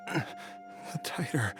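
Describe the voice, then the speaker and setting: An actor playing a weary father brought back from death, speaking in a slow, hesitant, wistful way, in a game with faint music behind. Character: weary revived father clinging to life. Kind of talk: game voice acting.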